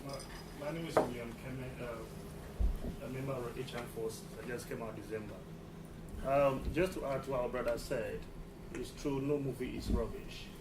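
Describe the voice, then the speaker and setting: A man's voice: mid-range voice, fairly even intonation, moderate pace. Earnest man, distant in an echoey hall. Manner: earnest, repeats himself, restarts phrases. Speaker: audience member at a press conference. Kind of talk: spontaneous public comment. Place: press conference hall. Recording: distant mic in an echoey hall with background noise.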